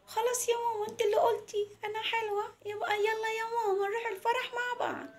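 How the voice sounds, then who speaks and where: A woman's voice: extremely high pitch, flat delivery, voice pushed up. A woman voicing a vain, stubborn little girl in a storytelling piece, childish and pleading, stretching her words in a whine. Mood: insistent, cheerful. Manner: childish, whiny, drawn-out words.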